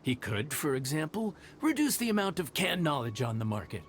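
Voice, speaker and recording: An actor playing a smug, sly male mercenary who bargains smoothly, laughs mockingly and threatens. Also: fairly high pitch, sing-song, a little fast, slight background noise.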